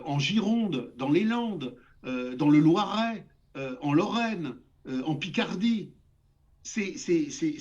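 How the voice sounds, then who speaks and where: An older man holds forth as an interview guest, his voice pitched fairly high for a man. He lectures with emphasis, stacking up examples and repeating words as he drives his points home. The audio sounds dull and lo-fi. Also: darkish tone. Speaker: guest essayist. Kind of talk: interview discussion.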